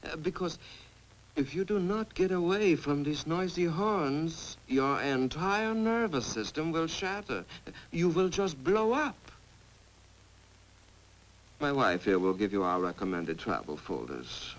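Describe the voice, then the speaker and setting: A man playing a solemn, officious doctor in an old cartoon, speaking in a deliberate, pompous way. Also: fairly high pitch, big pitch swings, full character voice, rough recording. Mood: grave. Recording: old cartoon soundtrack, faint noise.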